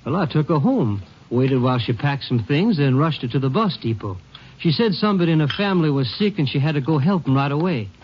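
A man's voice: fairly high pitch, lively intonation, fairly quick, lightly acted. A man playing a drawling folksy cabbie. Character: easygoing male cab driver, folksy. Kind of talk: 1950s radio acting. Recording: dull old radio recording, faint hiss.

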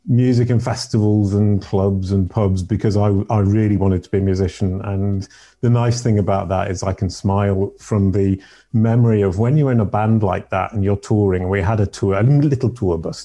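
Man, deep mellow voice, middle-aged, from Yorkshire, rambling reflective storyteller. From Yorkshire, England. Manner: rambling, self-correcting, reflective. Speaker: podcast guest. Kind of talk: casual interview storytelling.

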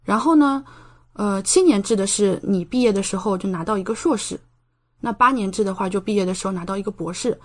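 A woman's voice: medium pitch, fairly quick. A young woman from China, a podcast guest, explaining things in a conversational interview style. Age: young adult.

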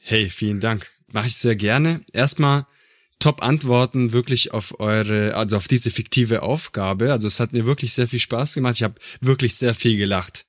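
Male podcast guest from Germany, mid-pitched, informal and enthusiastic, casual conversational delivery. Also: moderate pace. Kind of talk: casual interview talk.

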